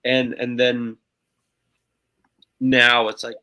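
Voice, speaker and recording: Man, nasal, bright, forceful, casual swearing, animated podcast chatter. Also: mid-range voice, extremely slow. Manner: animated, swears often, stammering restarts. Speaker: podcast co-host. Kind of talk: casual chat.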